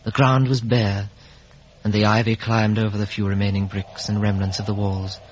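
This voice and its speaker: An actor playing an earnest, remorseful gentleman telling his life story in a reflective, literary way in a 1950s radio drama. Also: on the low side, level tone, slight background noise, natural voice. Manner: literary, reflective, halting with emotion. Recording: muffled vintage 1950s radio recording.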